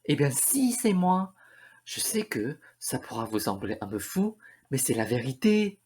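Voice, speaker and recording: A woman's voice: very deep voice, big pitch swings, a little slow, dark tone, slight background noise, heavily acted. A woman playing an eccentric, pleading lady who insists she is a prince's love, in a student radio play. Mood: coy, insistent.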